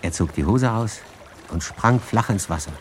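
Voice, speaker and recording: An actor playing the narrator of a tense adventure tale in a 1980s radio drama, telling it dramatically. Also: male voice, on the low side, expressive, moderate pace, close to an everyday voice. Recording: noisy radio drama with water ambience.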